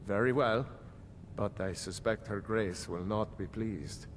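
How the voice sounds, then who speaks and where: A man's voice: lowish voice, measured pace, a little hiss or hum, close to an everyday voice. A man playing a dutiful royal steward in a fantasy game, formal, diplomatic and matter-of-fact. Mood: disapproving. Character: dutiful, measured male steward of royal court. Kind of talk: fantasy game character acting. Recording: game music behind.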